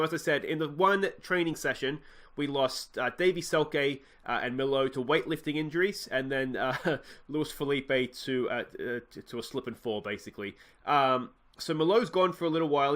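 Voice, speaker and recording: An Australian man with a slightly nasal voice from a cold, casually talking through his gameplay, rambling and often correcting himself. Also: a little high. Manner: rambling, self-correcting, excitable at goals. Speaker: let's play host.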